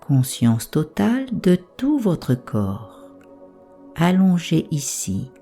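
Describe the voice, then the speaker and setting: A woman guiding a yoga nidra relaxation, giving calm, soothing, measured instructions with pauses. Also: low-pitched, soft background music. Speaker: meditation guide.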